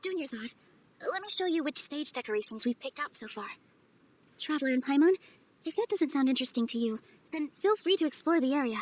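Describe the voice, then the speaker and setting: An actress playing a kind, graceful young festival dancer in a video game, speaking softly and earnestly. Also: female voice, sits high, lively intonation, a little fast. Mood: welcoming. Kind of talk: video game character voice acting.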